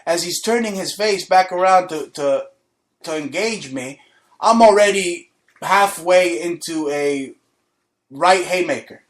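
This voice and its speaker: A man's voice: sits high, measured pace. A man hosting a talk show, ranting aggressively with lots of swearing and telling a boastful story from his school days. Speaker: show host. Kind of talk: profane comedic rant and storytelling.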